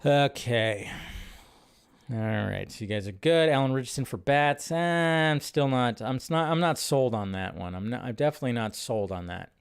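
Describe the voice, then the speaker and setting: A man's voice: mid-range voice, normal speed, vocal fry, clear, resonant tone. A man hosting a live movie news stream, talking casually in a loose, rambling way with lots of fillers.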